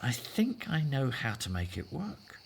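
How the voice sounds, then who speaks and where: A man's voice: mid-range voice, very animated, unhurried, gravelly, a little hiss or hum. An actor in a scripted audio drama playing a devout Hebrew husband and father, earnest and persuasive. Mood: reassuring, persuasive.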